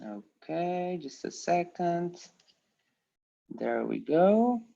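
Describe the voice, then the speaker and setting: A woman's voice: on the low side, big pitch swings, drawn-out words, vocal fry, mellow. A woman from Poland teaching a casual online Dutch class, giving instructions in a friendly, hesitant way with fillers. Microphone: computer mic on a video call. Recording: dull lo-fi video call audio.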